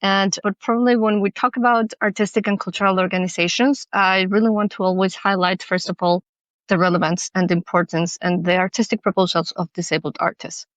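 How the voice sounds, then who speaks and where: A woman's voice: mid-range voice, steady tone, a little fast, no echo. Earnest woman guest, English, thoughtful, restarts often, dry recording. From Mexico. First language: Spanish. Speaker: guest, accessibility consultant. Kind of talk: podcast interview.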